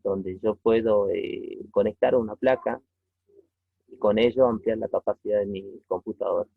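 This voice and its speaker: A man teaching a technology lesson informally, explaining step by step in a halting way with short checking questions. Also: fairly low pitch, fairly even intonation, vocal fry, husky, no room sound. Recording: tinny phone-like narrow-band audio.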